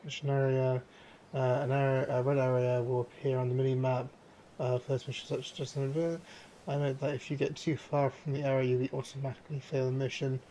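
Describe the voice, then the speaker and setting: A hoarse man streaming a game, chatting casually and rambling with many fillers and restarts. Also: medium pitch, flat delivery, a little fast, mellow, a little hiss or hum, poor audio. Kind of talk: casual gameplay commentary.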